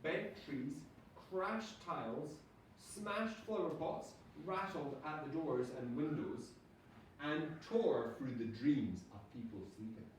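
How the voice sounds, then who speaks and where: A man, a teacher, reading a storybook aloud to children in a dramatic, drawn-out way. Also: a little high, lively intonation. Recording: echoey room, distant mic, background noise.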